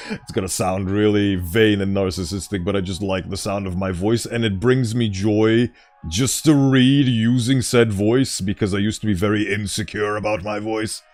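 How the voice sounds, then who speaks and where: Man, streamer, smooth voice, playful casual commentary, lively pitch, faint music behind. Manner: playful, reads game text aloud in a mock-formal tone.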